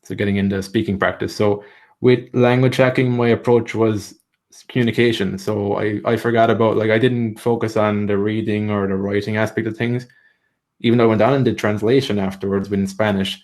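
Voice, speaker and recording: A man's voice: lowish voice, fairly even intonation, brisk, a little mellow. A man giving language-learning tips in a live training session, explaining steadily with frequent "so" and "like".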